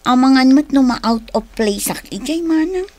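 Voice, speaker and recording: A woman's voice: high voice, lively intonation, normal speed, darkish tone, no echo. A woman playing a hesitant young graduate, a respectful little sister in a radio drama, with an Ilocano accent. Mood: shy, worried.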